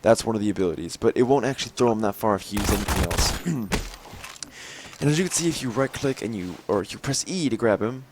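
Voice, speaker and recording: Young male gameplay narrator, lowish and a little raspy, casual and rambling, clear game gunfire under him. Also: lively intonation, quick pace, noisy background. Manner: rambling, frequent fillers and throat clearing. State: slightly raspy from lack of sleep. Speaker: gameplay video host. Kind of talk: mod showcase commentary. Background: game gunfire and clicks.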